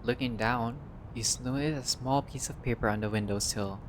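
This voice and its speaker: A man with a mid-pitched voice narrates a visual novel playthrough. He reads the text like a storyteller and drops in short, casual asides. Clear background noise and ambience sit behind his voice. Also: normal speed. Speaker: gaming channel player reading a visual novel aloud. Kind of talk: playthrough narration, reading game text aloud.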